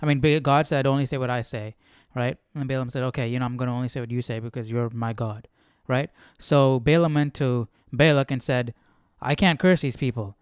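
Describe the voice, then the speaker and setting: A man's voice: medium pitch, steady tone, a little fast, hardly any pauses, clean tone, no echo, clean studio sound. A man hosting a Bible study stream, talking through scripture casually and musingly, often checking in with rhetorical questions. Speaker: host of a Bible study livestream. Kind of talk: casual conversational scripture commentary.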